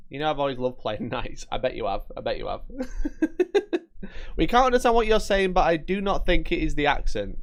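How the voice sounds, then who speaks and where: A man's voice: fairly high pitch, very animated, a little fast, fairly bright tone. A man with a Yorkshire accent, casually chatting at his desk on a live stream, reading viewers' messages, joking, teasing and laughing often. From Northern England.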